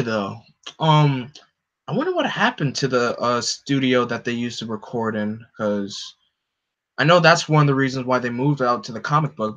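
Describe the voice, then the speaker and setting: A young man with a deep voice hosting a casual livestream, rambling with lots of fillers and answering his chat. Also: a bit bright. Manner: rambling, lots of "like" and "you know". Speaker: livestream host. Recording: dull lo-fi stream audio.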